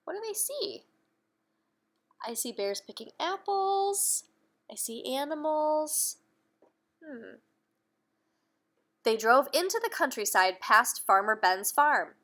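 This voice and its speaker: A woman reading a children's story aloud in an animated manner, pausing to put questions to young listeners. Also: high voice. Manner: animated, addresses children directly. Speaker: picture-book reader.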